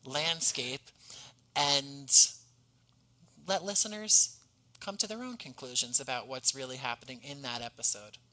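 This is a man with a bright, forward voice, talking as a podcast guest. He explains his work conversationally but in articulate, measured sentences with a touch of formality. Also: mid-range voice, poor audio. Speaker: podcast guest, audio drama writer.

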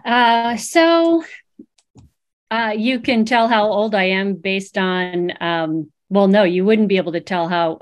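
A woman with an East Coast accent, a dietitian guest talking casually about her career with many ums. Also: medium pitch. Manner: hesitant, lots of ums, laughs easily. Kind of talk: casual interview chat.

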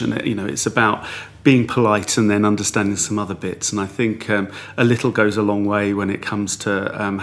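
A man answering in a relaxed interview, talking in long, rambling sentences with frequent restarts. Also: on the low side. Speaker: guest. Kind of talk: conversational interview.

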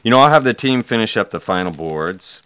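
An actor playing a friendly, eager young male art director in an audio drama, halting and enthusiastic. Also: lowish voice, lively intonation, fairly bright tone, smooth. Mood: excited.

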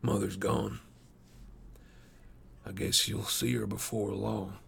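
Man acting a dying frontier wanderer, gravelly, weary, gentle, halting rustic speech, storybook character. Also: lowish voice, flat delivery, slight background noise, full character voice. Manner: halting, folksy, uneducated speech.

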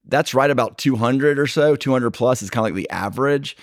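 A man's voice: medium pitch, fairly quick, dry recording, clean studio sound. A man hosting a casual podcast, talking in a loose, rambling way with fillers and restarts. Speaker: podcast host.

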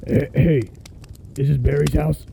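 A man playing a big, gruff, blunt adventurer who talks haltingly. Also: fairly high pitch, little pitch movement, mellow, exaggerated voice. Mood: gruff, impatient.

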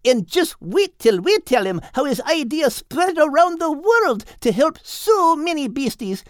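A man's voice: very high-pitched, sing-song, a little slow, no room sound, clean studio sound, pitched above the performer's own voice, exaggerated voice. A man voicing an affectionate, reassuring male seagull husband with folksy speech in a storybook tale. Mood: jolly.

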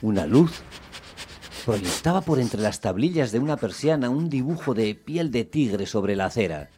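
An actor narrating a radio play as a measured storyteller, in a deliberate, literary, descriptive way. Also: male voice, mid-range voice, lively intonation, dark tone, slight background noise, lightly acted. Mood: wry, measured. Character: measured male storyteller, wryly observing a small dog.

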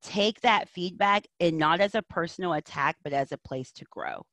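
A woman's voice: fairly low pitch, animated, moderate pace, a bit bright, slight background noise. A woman speaking as an interview guest, a counselor and educator, giving earnest, emphatic advice in reflective answers.

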